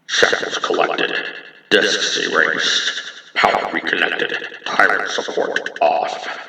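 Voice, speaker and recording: Man voicing a cold, low, calculating villain. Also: fairly even intonation, creaky, very echoey, big-room sound, exaggerated voice, low-quality recording. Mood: cold, methodical. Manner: clipped, low, hushed, deliberate. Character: cold, calculating male villain, quietly methodical.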